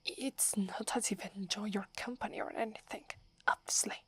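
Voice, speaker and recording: A woman's voice: mid-range voice, normal speed, breathy edge, no room sound. A woman from Italy with an Italian accent, whispering as a proud, wary young female werewolf who is prickly and defensive. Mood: grudging. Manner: haughty, grudging, defensive. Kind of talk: ASMR roleplay.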